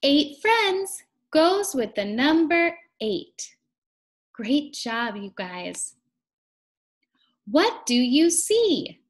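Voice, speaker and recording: A young woman with a fairly high, very lively voice leads a children's read-aloud. She speaks slowly and deliberately, with an encouraging sing-song teacher's delivery aimed at little kids.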